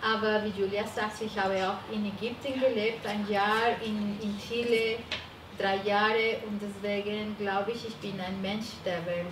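A woman's voice: medium pitch, steady tone, unhurried. A woman in her forties from Colombia with a Colombian Spanish accent, speaking earnestly on a panel with small grammar slips. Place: seminar room with a panel. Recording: distant mic in an echoey noisy room.

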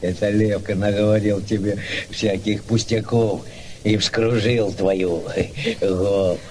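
A man playing a gruff, scolding Cossack village head who hems and sputters in theatrical radio drama style. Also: lowish voice, steady tone, a little slow, darkish tone, slight background noise, natural voice. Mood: blustering, threatening.

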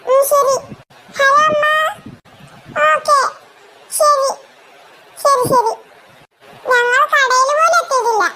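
Cartoon kid sister: extremely high squeaky voice, childlike, eager, pleading, faint noise. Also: fairly even intonation, takes it slowly, pauses often. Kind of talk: Malayalam comedy cartoon dialogue.

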